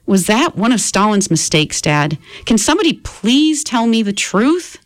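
A woman's voice: on the high side, sing-song, moderate pace, dry recording, studio quality, pitched above the performer's own voice. A woman doing an anxious, pleading young boy. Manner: urgent, pleading questions.

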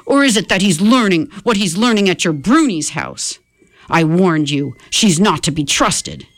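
A woman voicing a blustering middle-aged father who shouts and lectures. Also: fairly low pitch, big pitch swings, moderate pace. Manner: bellowing, lecturing.

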